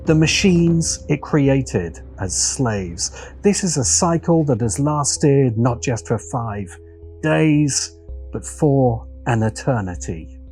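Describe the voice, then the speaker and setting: A man narrating a scripted video essay in a measured, dramatic way, with deliberate phrasing and pauses. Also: medium pitch, darkish tone. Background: background music.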